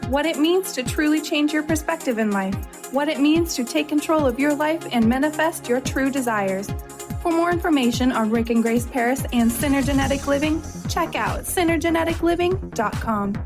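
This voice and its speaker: High female announcer with music behind. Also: expressive, moderate pace. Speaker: promo announcer. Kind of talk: scripted radio promo narration. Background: music bed.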